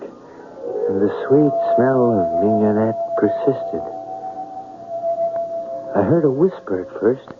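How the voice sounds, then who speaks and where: An actor in a 1970s radio drama playing a haunted, lovestruck man who speaks in halting, dramatic phrases. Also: lowish voice. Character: haunted man narrating ghostly voices, lovestruck and anguished. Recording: tinny 1970s radio broadcast.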